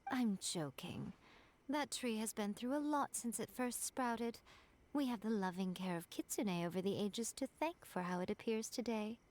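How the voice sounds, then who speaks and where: A woman's voice: mid-range voice, normal speed, darkish tone, slight background noise, natural voice. A woman voicing a sly, teasing fox-spirit shrine priestess in a game, wry and knowing. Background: game soundtrack music.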